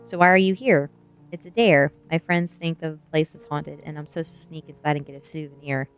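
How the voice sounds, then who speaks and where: Woman reading as a nervous teenage boy, lowish voice. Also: fairly quick, smooth, faint music behind. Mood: uneasy. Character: nervous, handsome teenage boy, reluctant but friendly. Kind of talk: storybook character dialogue.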